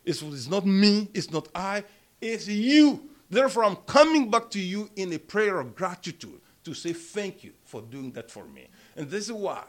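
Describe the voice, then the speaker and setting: A man preaching a sermon and leading prayer in a small church, speaking emphatically with a strong voice that rises loud on key words. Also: high voice. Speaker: pastor.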